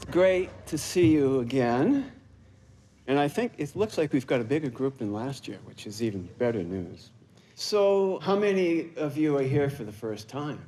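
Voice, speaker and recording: A man's voice: mid-range voice, expressive, moderate pace, warm tone, slight background noise. A man leading a support group conference, speaking in an upbeat, welcoming way to the audience.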